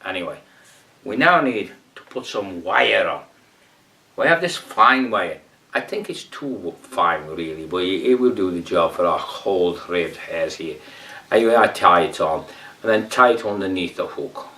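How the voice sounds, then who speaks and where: A man's voice: low-pitched, lively intonation, a little slow, pushed and bright, recorded from a distance, heavily acted. A man playing a rambling Brazilian amateur fly tier in a comic tutorial, with a put-on Brazilian accent, broken English and muttered whispered asides. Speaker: fly tying demonstrator.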